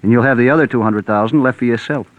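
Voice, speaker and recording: An American actor playing a slick, pushy schemer with a brisk, menacing manner, in 1940s radio mystery style. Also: male voice, medium pitch, dry recording, lightly acted. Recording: tinny, narrow 1940s radio recording.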